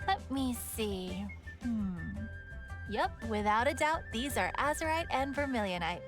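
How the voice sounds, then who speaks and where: A woman's voice: on the high side, lively intonation, measured pace, music in the background. A woman playing a friendly, businesslike young festival organizer in an anime video game, explaining things politely. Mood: pleased, welcoming.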